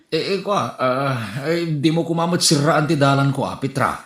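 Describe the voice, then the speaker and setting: A man's voice: fairly high pitch, lively intonation, moderate pace, a bit bright, roomy sound. A man with an Ilocano accent playing a flustered, boastful married man who stammers and hesitates. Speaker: actor in a comedy skit. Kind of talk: comedy skit acting.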